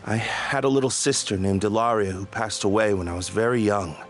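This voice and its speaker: Low-voiced, grim guard captain played by a man. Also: a little fast, faint music behind, lightly acted. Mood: somber. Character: grim, grieving guard captain bent on vengeance. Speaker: game voice actor. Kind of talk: dramatic video game acting.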